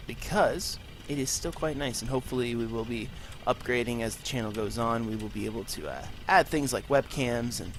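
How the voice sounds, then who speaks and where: A man of middle pitch talks through his game in a loose, rambling way, breaking off into self-mocking asides. His voice is a little congested, and he records in a bare room with no acoustic paneling. A faint hiss sits under the recording. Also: a little fast, few pauses, poor audio. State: congested from allergies. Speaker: gaming channel host. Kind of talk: let's play commentary.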